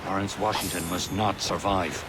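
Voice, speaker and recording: An actor playing a stern ship's officer and conspirator in a dramatic video game, with wind and sea noise behind him. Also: male voice, low voice, fairly even intonation, normal speed, natural voice. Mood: grave.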